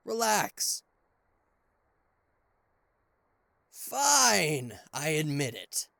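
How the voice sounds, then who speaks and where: A man playing a cocky, playful and easily flustered king of hell as an affectionate boyfriend in an ASMR roleplay, with theatrical teasing pauses and stammers. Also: a little high, huge pitch swings, creaky, bright, forceful tone, dry recording, exaggerated voice. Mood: flustered denial. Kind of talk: ASMR roleplay addressed to the listener.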